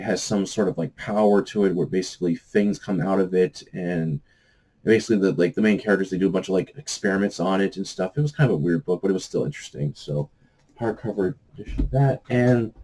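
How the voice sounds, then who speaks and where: A man giving a casual, rambling review to viewers, halting often as he searches for words and trails off. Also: fairly low pitch, warm tone. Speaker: book review vlogger. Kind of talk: casual rambling book chat.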